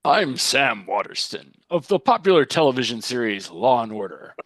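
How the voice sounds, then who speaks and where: Mock-commercial spokesman, older male played by a man: ominous, deliberate, earnest, very varied intonation, dry sound. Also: big pitch swings, fairly bright tone, dry recording. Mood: grave, ominous. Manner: grave, deliberate sales pitch with dramatic emphasis. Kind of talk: mock television commercial read.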